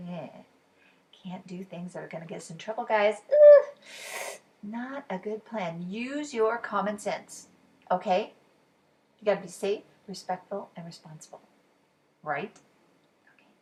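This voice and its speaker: A woman reading a children's story aloud as storytime reader, expressive and dramatic in delivery. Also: medium pitch. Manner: expressive, dramatic pauses, drops to whispers. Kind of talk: animated children's story narration.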